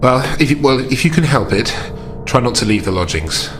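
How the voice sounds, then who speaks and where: A man playing a courteous, concerned gentleman investigator in a tabletop horror game, speaking in a formal, measured, hesitant way. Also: medium pitch, expressive, over music, pitched above the performer's own voice, natural voice. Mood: protective, grave.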